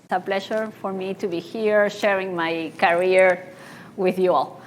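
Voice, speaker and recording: A woman's voice: medium pitch, level tone, a little hiss or hum, poor audio. Earnest woman from Argentina. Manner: earnest, halting with restarts. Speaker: panel guest, treasurer. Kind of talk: career talk on a panel. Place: conference stage.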